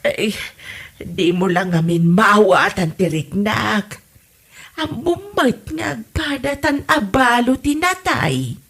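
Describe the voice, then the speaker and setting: Woman playing older Ilocano widow, husky, slow drawn-out delivery, coy, huffy, comedy drama. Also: medium pitch, expressive, a bit bright, exaggerated voice. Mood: coy, yearning. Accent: Filipino, Ilocano.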